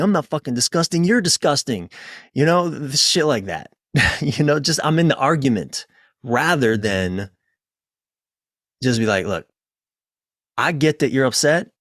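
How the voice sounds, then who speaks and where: A man speaking as a podcast guest and relationship coach, telling personal stories in an informal, emphatic, sometimes halting way with casual swearing. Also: medium pitch, fairly bright tone, dry recording, clean studio sound.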